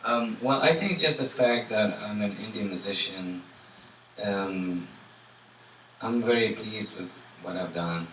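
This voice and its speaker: A man from Tunisia, a guest musician answering audience questions in a relaxed way, full of "you know" and fillers. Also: on the low side, takes it slowly. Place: indoor salon event. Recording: echoey room from far off, faint noise.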